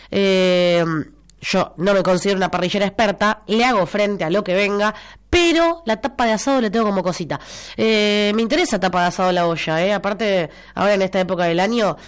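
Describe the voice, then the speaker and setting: A woman in her twenties with an Argentine accent, a stuffy nose and a cough, chatting casually on morning radio and reading out listener messages, repeating phrases for emphasis. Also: medium pitch. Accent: Argentine Spanish. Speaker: radio host. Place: radio studio.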